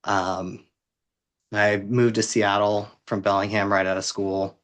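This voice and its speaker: A man's voice: on the low side, little pitch movement, takes it slowly, fairly bright tone. A man in his thirties from the US Pacific Northwest, a guest on a podcast, talking with self-deprecating humor. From Vancouver, Washington, US. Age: 30s. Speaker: interview guest, city housing worker. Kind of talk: conversational interview.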